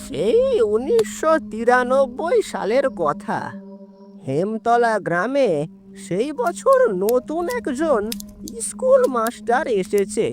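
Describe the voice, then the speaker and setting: A woman narrating a horror story in an expressive, theatrical way. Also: on the high side, takes it slowly, over music, exaggerated voice. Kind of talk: dramatic horror story narration with character voices.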